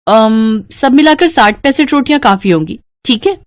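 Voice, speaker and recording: A woman acts out an elder sister-in-law from a cartoon family story. She is breezy and commanding, chatting familiarly as she hands out chores, with a very animated pitch in a dry recording. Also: moderate pace, no room sound, natural voice. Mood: breezy, bossy. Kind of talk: Hindi cartoon story dialogue.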